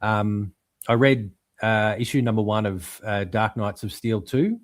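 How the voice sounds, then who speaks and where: A lower-pitched man co-hosting a podcast, talking comics in an easy, casual way. He stops and restarts often and fills gaps with ums, yet his enthusiasm comes through. The sound is dry and close, with no room echo. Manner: halting, full of ums and restarts. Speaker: podcast co-host. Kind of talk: casual chat about comics.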